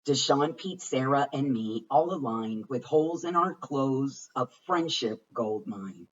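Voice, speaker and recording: A man giving an expressive read-aloud of a rhyming children's picture book, with deliberate, dramatic phrasing. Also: mid-range voice. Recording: muffled, distant microphone.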